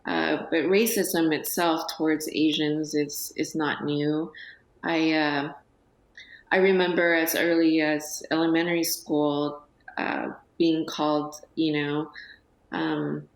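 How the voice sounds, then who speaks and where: A woman in her forties, a guest on a TV talk show, speaking softly and hesitantly with many pauses and fillers. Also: low voice, steady tone, roomy sound, poor audio. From United States. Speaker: guest, business owner. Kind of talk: TV interview. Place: TV studio.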